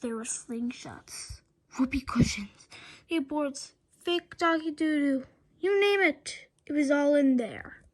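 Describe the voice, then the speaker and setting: A woman with a high voice reads a children's storybook aloud. Her delivery is expressive, with the occasional stumble over a word. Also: takes it slowly. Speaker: read-aloud narrator.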